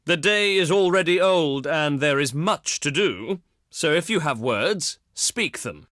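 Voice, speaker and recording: Pompous, theatrical ancient Greek diplomat. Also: male voice, a little high, animated, fairly bright tone, dry recording, clean studio sound. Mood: impatient, condescending. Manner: pompous, declamatory, measured oratory. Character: haughty, eloquent ancient Greek diplomat, a grown man. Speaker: video game voice actor. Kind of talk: theatrical scripted game voice lines.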